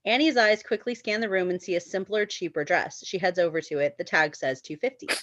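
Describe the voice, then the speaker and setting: A woman's voice: lowish voice, fairly quick, fairly bright tone, no room sound, highs cut off. A woman narrating a screenplay's stage directions for a table read.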